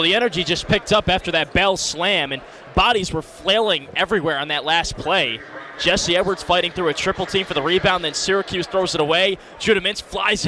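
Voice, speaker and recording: A man with a high voice adds color commentary at a basketball game, with loud arena noise behind him. He sounds conversational and wry, like the analyst beside the main caller. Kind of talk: conversational live sports analysis. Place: courtside in a large indoor arena.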